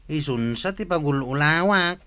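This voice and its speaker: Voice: medium pitch, animated, moderate pace, fairly bright tone, lightly acted. An actor playing a lazy, broke, woozy tricycle driver in a comic radio drama. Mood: wheedling. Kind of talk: comedic radio drama acting.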